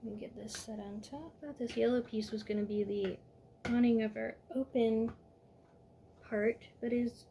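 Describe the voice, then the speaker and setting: A woman walking viewers step by step through a toy building set in a calm, instructive way, a little hoarse from losing her voice. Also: a little high, a little slow, slight background noise.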